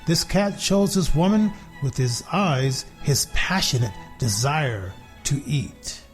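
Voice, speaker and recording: A man voicing a smug, possessive pet cat in a children's story, telling it deliberately with pauses. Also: fairly high pitch, expressive, soft background music. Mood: smug, unhurried.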